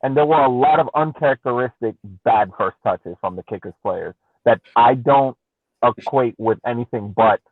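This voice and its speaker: A man co-hosting a casual soccer podcast, deliberately explaining his analysis point by point over a thin connection. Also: medium pitch, no echo, narrow, tinny audio. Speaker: podcast co-host and soccer fan. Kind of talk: casual post-game sports analysis.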